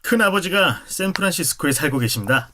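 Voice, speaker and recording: Young student activist, fairly high voice, plain steady lines, music under it. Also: male voice, a little fast, slight background noise. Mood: defiant. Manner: plain declarative speech. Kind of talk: radio drama acting. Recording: music behind.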